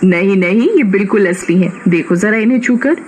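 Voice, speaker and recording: A man's voice: high-pitched, expressive, moderate pace, darkish tone, slight background noise. A man voicing a sensitive, innocent village girl with a plaintive, earnest manner in a storybook tale. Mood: anxious.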